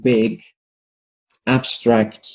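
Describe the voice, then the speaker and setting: A man's voice: medium pitch, flat delivery, extremely slow. A man hosting an English lesson, chatting casually and listing many examples as he agrees with his co-host. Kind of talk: casual conversation. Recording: dull lo-fi audio.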